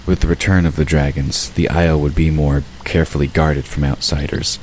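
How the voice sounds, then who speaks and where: A man narrating a fantasy audio drama in a steady, even storytelling manner. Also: rumbling low, brisk, slight background noise.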